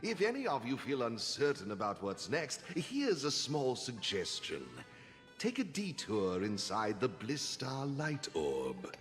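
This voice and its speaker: A man's voice: medium pitch, huge pitch swings, unhurried, big-room sound, soft background music. A man voicing a wry male trickster in a video game with a flowery, teasing, genteel manner. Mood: courtly, cryptic.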